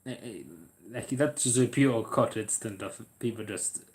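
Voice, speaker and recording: A man's voice: mid-range voice, unhurried, darkish tone. A man chatting casually on a livestream, rambling and hesitant with lots of fillers.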